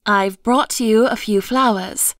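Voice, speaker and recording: A woman playing a sweet, trusting, devoted young woman in an audio stage play, gentle and earnest. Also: a little high, takes it slowly, no echo. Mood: tender, shy.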